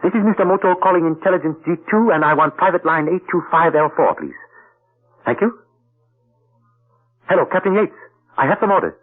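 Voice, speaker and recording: Einen höflichen Geheimagenten, alte Radioaufnahme. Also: male voice, on the high side, very animated, brisk, pauses often. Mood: brisk. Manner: formal, courteous, speaks in proverbs. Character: suave, polite male intelligence agent. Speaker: narrator and lead character. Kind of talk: 1940s radio acting. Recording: tinny old radio broadcast.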